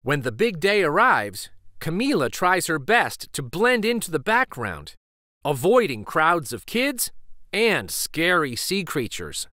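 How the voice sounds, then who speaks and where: Animated, unhurried man reading a storybook. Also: on the high side, no room sound, clean studio sound. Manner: expressive, deliberate. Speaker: storybook reader. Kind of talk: scripted children's story read-aloud.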